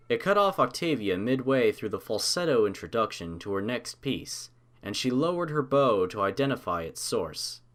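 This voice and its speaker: Man narrating with very lively pitch. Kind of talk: scripted story narration.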